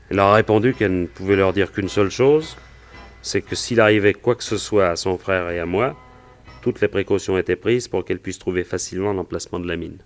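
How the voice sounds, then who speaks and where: A nervous, plain-spoken male witness in a radio crime drama. His voice is deep, and he talks colloquially with many hesitations. Music plays faintly behind him. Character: Burns, anxious plain-spoken working man, a murder witness.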